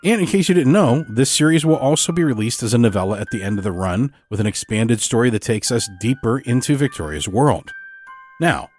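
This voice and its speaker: A man's voice: mid-range voice, expressive, brisk, a little mellow. A man speaking as host, giving a scripted introduction to an audio drama podcast. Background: music.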